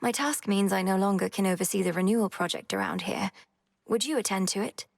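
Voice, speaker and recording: An actress voicing a poised, determined young mythic heroine in a video game, with measured, earnest, formal diction. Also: female voice, medium pitch, slight background noise, lightly acted.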